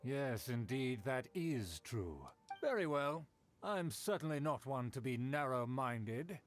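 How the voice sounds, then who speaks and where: A man's voice: medium pitch, lively intonation, takes it slowly, soft background music. A man voicing a haughty, pompous aristocrat with formal, archaic diction in an anime video game. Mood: disdainful.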